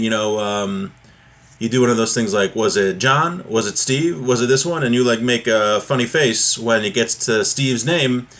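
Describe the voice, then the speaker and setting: A man teaching an informal religious lesson, reading from a text and explaining it in a halting, repetitive, conversational way. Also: medium pitch.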